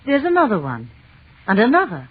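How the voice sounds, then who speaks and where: An actress playing an affectionate, playful wife in a theatrical 1940s radio drama style, on an old broadcast recording. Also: female voice, a little high, wildly swooping pitch, fairly quick, slightly rough, phone-quality sound, full character voice. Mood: awed, excited. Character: affectionate, playful wife of an astronomy professor, easily awed.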